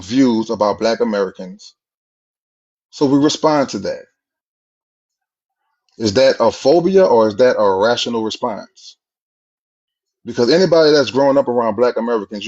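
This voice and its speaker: A man's voice: mid-range voice, darkish tone. A man argues his case in a combative, repetitive monologue, speeding up in bursts. His voice sits mid-range and comes through a dull, lo-fi recording. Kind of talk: argumentative monologue. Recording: dull lo-fi sound.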